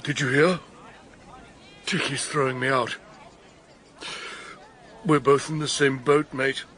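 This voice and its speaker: A man's voice: medium pitch, steady tone, slow, fairly bright tone, a little hiss or hum. A man playing a brash, self-pitying man whose wife has thrown him out. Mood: glum. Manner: blokey, rambling.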